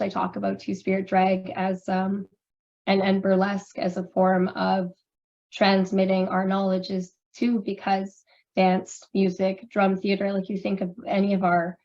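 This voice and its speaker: A hoarse woman who has lost her voice, talking candidly in a rambling way full of fillers. Her pitch stays flat and even. The audio is muffled and lo-fi, as on a video call. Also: a little slow. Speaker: panelist, drag performer and researcher. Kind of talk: casual panel discussion.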